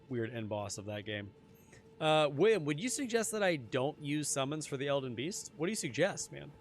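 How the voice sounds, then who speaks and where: A young man streaming a game, chatting casually with his viewers, his voice a little hoarse. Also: mid-range voice, a little hiss or hum. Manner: chatty, answers chat, repeats phrases. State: slightly hoarse. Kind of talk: casual live-stream chat while gaming.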